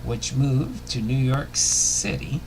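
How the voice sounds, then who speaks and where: A man hosting a livestream, talking casually and reading aloud in a halting way with uneven phrasing. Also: mid-range voice, lively intonation, creaky, noisy background. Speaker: host of a baseball biography livestream.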